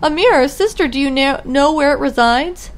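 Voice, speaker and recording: A woman's voice: sits high, animated, moderate pace. A woman reading the lines of an earnest young princess girl aloud from a game, in a slightly lifted voice. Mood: urgent. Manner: reads rhyming game dialogue aloud in a lifted voice.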